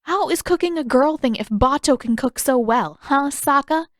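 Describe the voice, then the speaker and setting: A woman voicing an exasperated, sharp-tongued fourteen-year-old girl in an audiobook, challenging and sarcastic. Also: sits high, lively intonation, normal speed, dry recording, studio quality, voice pushed up. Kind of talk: audiobook character voice.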